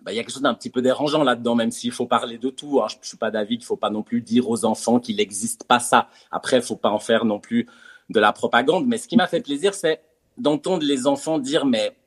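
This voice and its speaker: A man's voice: mid-range voice, fairly quick, husky. A man, a comedian and theatre teacher, talking casually in an interview, with many fillers and repeated words.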